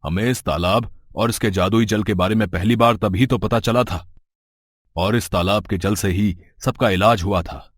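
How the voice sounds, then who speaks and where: A man's voice: fairly low pitch, normal speed, dry recording, lightly acted. A man acting a stern, scolding village headman. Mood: suspicious. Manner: scolding, authoritative. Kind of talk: dramatic story dialogue.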